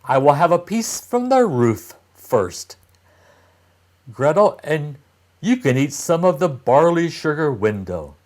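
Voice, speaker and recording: An eager young storybook boy, read by a man, exclaims hungrily. The delivery is animated and slightly raised in pitch, with unhurried phrasing. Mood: eager, delighted.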